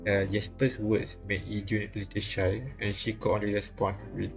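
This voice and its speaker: A man reading visual novel dialogue and narration aloud on a live stream, halting and repeating lines as he goes. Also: on the low side, monotone, vocal fry. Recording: music playing behind the voice. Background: game music.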